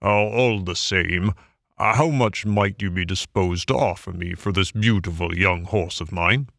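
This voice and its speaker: A man's voice: sits low, unhurried, creaky, fairly bright tone, no room sound, clean studio sound, exaggerated voice. A man voicing a vain, scheming male toad who talks pompously and wheedles. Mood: boastful.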